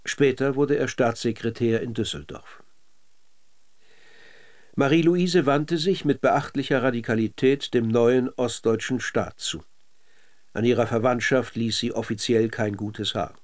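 Measured male radio documentary narrator. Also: medium pitch. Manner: measured, literary delivery.

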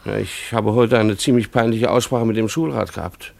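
Harried schoolteacher husband for radio drama: creaky edge, earnest, dryly wry, pedantic and deliberate. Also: male voice, on the low side, a little mellow. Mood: wry, apologetic. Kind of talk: German radio play dialogue.

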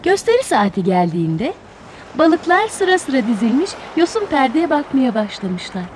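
Woman storyteller, high pitch, lively intonation, scripted children's tale, expressive. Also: moderate pace, a little hiss or hum, low-quality recording.

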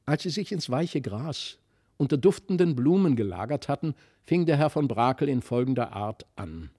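A male narrator reads literary fairy tales expressively. His voice is soft-edged and mellow at a middle pitch. He tells the story in a measured, dramatic way, recorded very dry and close. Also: sing-song, breathy edge, no room sound. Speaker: narrator, audiobook reader. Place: dry studio.

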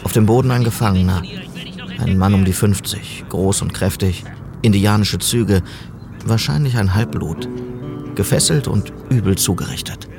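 A man's voice: on the low side, normal speed, gravelly, music in the background. An actor playing an upright, formal city policeman out West in a German radio play. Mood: tense narration. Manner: earnest, correct, formal.

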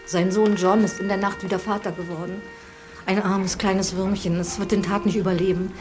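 An actress playing a dutiful, concerned housekeeper who speaks soberly and respectfully in a German radio drama. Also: female voice, medium pitch, level tone, moderate pace, a little mellow, faint music behind, natural voice.

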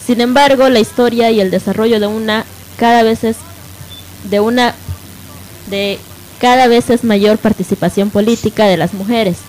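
A woman's voice: on the high side, steady tone, normal speed, a little mellow, rough recording. A woman co-hosting a live radio programme in a conversational style. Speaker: radio co-host.

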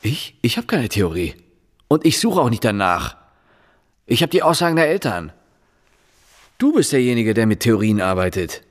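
An actor in a German radio drama playing a dogged, irritable police inspector, sceptical and halting in his arguing. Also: medium pitch, big pitch swings, long reverb tail, natural voice. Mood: defensive, sceptical.

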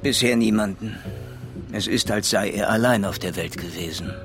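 An actor playing a probing, thoughtful police inspector in a radio crime drama, speaking deliberately and questioningly as he thinks aloud. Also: on the low side, lightly acted. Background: background music.

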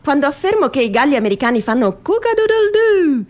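A woman performing a theatrical reading of a humorous first-person essay in a wry, mock-earnest and expressive manner. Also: sits high, fairly quick.

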